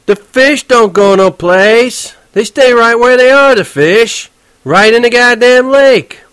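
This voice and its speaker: A man voicing an impatient middle-aged cab driver who talks rough street slang and keeps yelling. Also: very high voice, slow pace, no echo, voice pushed up, full character voice. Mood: irritated, yelling.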